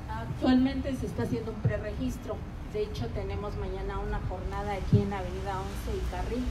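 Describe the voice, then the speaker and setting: Woman explaining programs outdoors. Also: medium pitch. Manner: matter-of-fact, listing details, fillers. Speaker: local government promoter. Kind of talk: informative explanation. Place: outdoor street assembly. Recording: distant mic with loud street noise.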